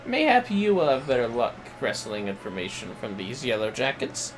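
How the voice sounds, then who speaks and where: A man's voice: mid-range voice, huge pitch swings, normal speed, faint music behind, rough recording. A man voicing a pompous, irritable scholar from a fantasy game, reading the dialogue in a formal, wordy, haughty way. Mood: verbose, frustrated.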